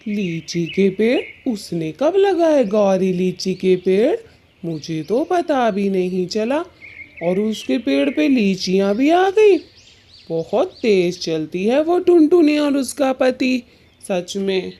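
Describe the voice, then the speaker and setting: Slow, mellow, henpecked cartoon bird husband, played by a woman. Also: medium pitch, very animated, a little hiss or hum. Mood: surprised, grumbling. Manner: slow, exasperated. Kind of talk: children's cartoon story dialogue.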